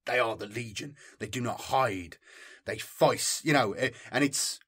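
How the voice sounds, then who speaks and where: A man's voice: mid-range voice, measured pace, fairly bright tone, slightly rough. A man hosting a channel, chatting casually and unscripted to his viewers in a rambling, informal way. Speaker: channel host.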